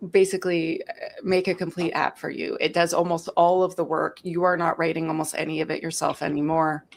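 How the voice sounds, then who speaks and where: A woman in her forties with a lowish, gravelly voice. She explains things in a talkative, animated way and tells stories as she goes. It is a casual live-stream chat. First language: English. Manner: talkative, animated storytelling with laughs. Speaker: host of a security live stream.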